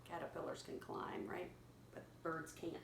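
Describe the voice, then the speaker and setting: A woman reading a picture story aloud to a young child, pausing to explain words simply and prompt repetition. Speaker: children's story reader and teacher. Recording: echoey room with clear background noise.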